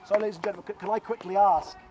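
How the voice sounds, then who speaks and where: A man's voice: high-pitched, steady tone, very fast, muffled recording. A middle-aged man organising a protest, urging people through a megaphone with a practical, pushy manner. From Scotland. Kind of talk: street protest speech. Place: outdoors by a hotel car park. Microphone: handheld megaphone. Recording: outdoor megaphone with crowd noise.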